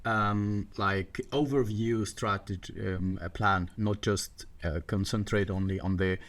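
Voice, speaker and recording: A man's voice: on the low side, steady tone, fairly bright tone. A middle-aged man from Egypt, a guest on a podcast, speaking with many fillers and restarts. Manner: halting, many fillers and restarts, non-native grammar. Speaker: water management guest. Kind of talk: podcast interview.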